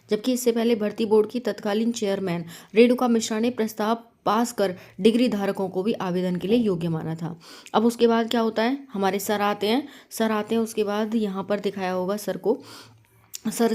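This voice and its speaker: A woman's voice: mid-range voice, mellow, roomy sound. A woman hosting an informal explainer for viewers, conversational and often checking in with rhetorical questions. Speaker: host of a government exam news channel.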